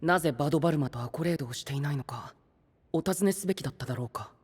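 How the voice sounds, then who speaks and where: A man's voice: on the high side, very fast, background noise, natural voice. An actor playing a gallant, concerned young knight with a theatrical manner who peppers his speech with English, in a Japanese anime voice drama.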